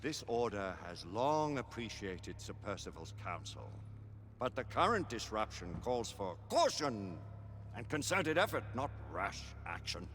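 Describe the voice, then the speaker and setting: An actor playing a stern elderly Lord Chancellor in a game, formal and imperious, with a husky voice. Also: male voice, fairly low pitch, big pitch swings, a little slow, a bit bright, a little hiss or hum. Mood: measured, firm. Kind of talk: dramatic video game cutscene acting. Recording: echoing great hall.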